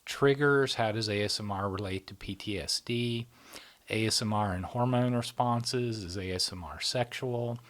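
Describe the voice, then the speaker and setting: A man with a fairly low voice hosts a relaxed interview. He keeps his guest going with frequent affirmations and picks up energy when he presents figures and topics. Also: moderate pace. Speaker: interviewer and host. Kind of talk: casual interview, running through a topic list.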